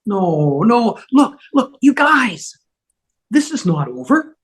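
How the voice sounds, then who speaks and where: A man's voice: high voice, big pitch swings, slow, a bit bright, husky, full character voice. A man playing a frantic, pleading, unravelling man in a scripted comedy read over a video call. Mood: pleading, desperate. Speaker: fan table-read actor.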